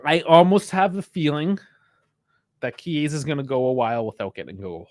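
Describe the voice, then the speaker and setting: A man's voice: fairly high pitch, brisk, darkish tone, dry recording, studio quality. A man co-hosting a football podcast in a casual chat, teasing his partner, laughing often and making show announcements.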